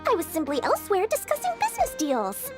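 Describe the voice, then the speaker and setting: A woman's voice: very high voice, huge pitch swings, quick pace, heavily acted. A woman playing a theatrical, wheedling businesswoman in a video game, effusive and dramatic with plenty of flourish. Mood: effusive, feigned innocence. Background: music.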